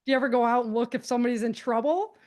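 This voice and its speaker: A woman's voice: on the high side, very fast. A woman speaking as a podcast guest, giving practical advice in a casual, conversational way and explaining things with animation.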